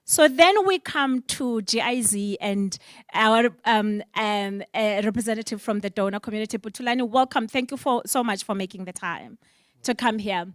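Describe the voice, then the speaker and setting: A woman's voice: mid-range voice, normal speed, no echo, studio quality. Passionate South African woman moderating. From Eastern Cape, South Africa. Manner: emphatic, passionate, rhetorical questions. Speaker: panel moderator. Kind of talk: conference panel moderation. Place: symposium panel on stage.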